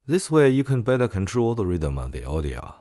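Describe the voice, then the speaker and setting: Low-voiced man with lively pitch swings. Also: brisk, no room sound, studio quality. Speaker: software tutorial presenter. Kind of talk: casual screen-recorded software tutorial.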